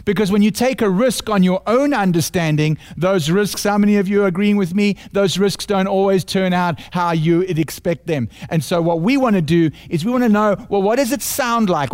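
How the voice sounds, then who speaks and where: A man with a South African accent preaching a sermon, earnest and building points through repeated phrases. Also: high-pitched. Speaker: pastor.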